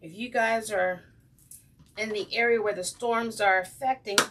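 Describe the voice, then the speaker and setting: A woman with a slightly raspy voice hosting a casual crafting livestream, chatting with viewers and saying um often. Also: medium pitch, steady tone, a little slow, recorded from a distance, noisy background. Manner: chatty, greets viewers by name, frequent ums. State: slightly raspy from breathing trouble. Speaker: craft channel host.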